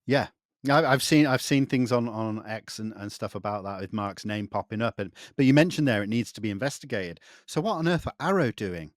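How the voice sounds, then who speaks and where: A man's voice: on the low side, expressive, brisk, no echo, clean studio sound. A man hosting an interview in a conversational, agreeable way, affirming what the guest says. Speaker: interview host.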